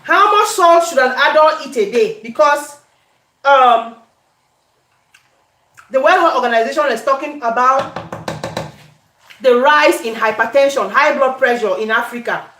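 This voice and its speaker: High-pitched Cameroonian woman, echoey room. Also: a little slow, long pauses, slight background noise. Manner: animated, conversational, repeats questions and phrases. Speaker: cooking vlogger. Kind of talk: casual live chat while cooking. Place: indoor home kitchen.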